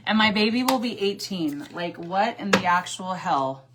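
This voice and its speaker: A woman in her forties talks casually through a livestream as she does her makeup. She is sassy and chatty, answers viewers' comments and laughs often, in a mid-pitched voice. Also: expressive, normal speed, background noise. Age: 40s. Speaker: livestream host. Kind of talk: casual livestream chat while doing makeup.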